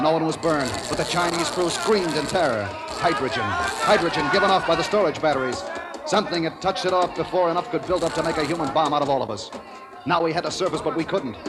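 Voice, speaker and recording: A man's voice: fairly high pitch, lightly acted. A man playing an American submarine captain who barks clipped orders and narrates tersely in 1940s radio drama style. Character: American submarine captain, commanding, urgent, narrating his own story. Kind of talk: 1940s old-time radio drama acting. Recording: vintage 1940s radio broadcast.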